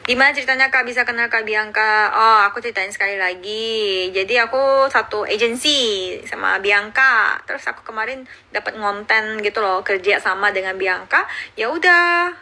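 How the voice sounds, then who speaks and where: A woman in her thirties who grew up in Indonesia, chatting casually on a phone livestream and answering viewer comments, cheerful and quick to laugh. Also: on the high side, sharp tone. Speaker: livestreaming YouTuber. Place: outdoors or at home in Seoul.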